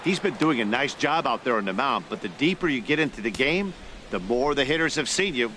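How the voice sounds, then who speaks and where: Stadium noise sits behind a male baseball color analyst in a sports game. The voice is bright and forward, and he talks in an easygoing, knowing, conversational way. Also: mid-range voice, animated, brisk. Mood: analytical.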